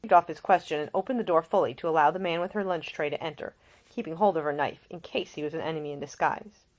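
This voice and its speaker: Woman, audiobook narrator, slightly low pitch, scripted fiction reading, author reading own work. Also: fast talker, darkish tone.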